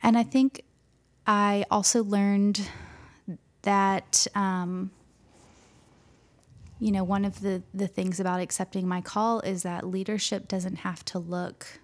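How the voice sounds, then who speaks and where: A young woman pastor speaking softly and gently in an interview, pausing often with hesitant ums. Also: mid-range voice, little pitch movement. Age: young adult. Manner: soft-spoken, gentle, hesitant with many ums. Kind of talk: interview conversation.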